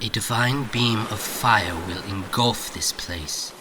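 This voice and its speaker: A man playing an ominous, devoted soldier turned cult assassin in a fantasy audio drama, solemn and deliberate. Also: lowish voice, very noisy.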